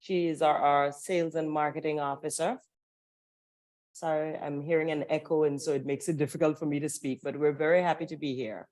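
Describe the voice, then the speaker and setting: A woman's voice: sits low, fairly even intonation, fairly quick, darkish tone. A woman giving a formal self-introduction as a broadcasting director at an online meeting.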